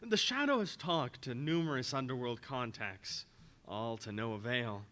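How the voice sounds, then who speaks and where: Guy acting troubled gentleman hero, grave and formal, average pitch, vintage radio play. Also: lively intonation, normal speed. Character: troubled, secretive gentleman hero with a hidden identity. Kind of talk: 1930s radio drama acting.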